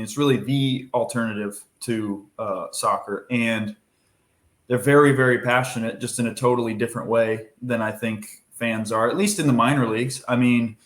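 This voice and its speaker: A man's voice: medium pitch, fairly bright tone. Easygoing young man in an echoey room. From Minnesota. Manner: easygoing, rambling with fillers and restarts. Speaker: baseball pitcher guest. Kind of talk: casual podcast interview.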